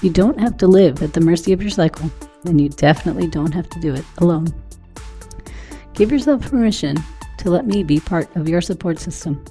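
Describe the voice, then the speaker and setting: A woman's voice: lowish voice, fairly quick, warm tone, soft background music. A middle-aged woman speaking as a podcast host, introducing the show and interviewing a guest. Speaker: podcast host, wellness coach.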